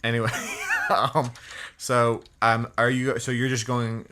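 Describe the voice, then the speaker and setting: A man co-hosting a casual gameplay show, relaxed and joking, asking his partner questions. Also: medium pitch, slow, background noise. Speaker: co-host.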